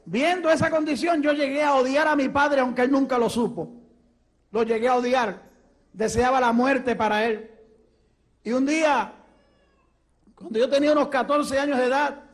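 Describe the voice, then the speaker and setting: A man with a Puerto Rican accent, an evangelist preaching and telling his testimony with fervor that rises to shouts. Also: extremely high pitch. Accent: Puerto Rican Spanish. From Puerto Rico. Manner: fervent, rising to shouts.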